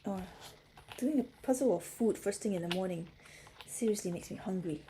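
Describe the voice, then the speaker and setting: A woman's voice: medium pitch, moderate pace, noisy background. A middle-aged woman from Singapore hosting a puzzle livestream, chatting casually and answering comments from viewers.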